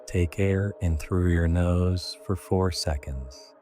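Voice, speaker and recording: A man narrating a scripted guided sleep meditation in a hushed, deliberate way, in short phrases. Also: low-pitched, faint music behind.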